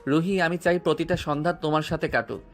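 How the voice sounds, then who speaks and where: A man playing a tender, persistent young student in love, speaking softly and earnestly in an audio drama. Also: a little high, steady tone, fast, soft background music, close to an everyday voice. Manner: soft, earnest, romantic delivery.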